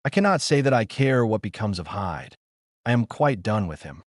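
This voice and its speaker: Man reading as a feverish, guilt-ridden gentleman doctor. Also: fairly low pitch, big pitch swings, moderate pace, no echo, clean studio sound. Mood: dismissive. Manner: fervent, solemn, formal. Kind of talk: dramatic audiobook character reading.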